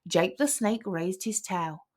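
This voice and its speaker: A woman narrating a children's picture book aloud as an expressive storyteller. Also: medium pitch, slow. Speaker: storybook reader.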